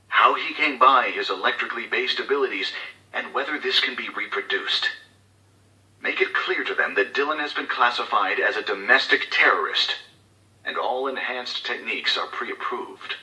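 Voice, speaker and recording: A man's voice: medium pitch, moderate pace, bright, forceful tone, roomy sound, distant mic, rough recording. An actor playing a cold, scheming male corporate executive who gives covert orders in formal, clipped, authoritative speech for a game audio log. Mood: businesslike, menacing.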